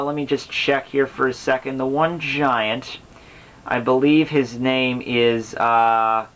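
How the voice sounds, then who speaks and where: Bright-voiced man, casual rambling commentary. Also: mid-range voice. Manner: rambling, slangy, with exaggerated exasperation. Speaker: host of a book summary series. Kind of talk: casual unscripted explanatory commentary.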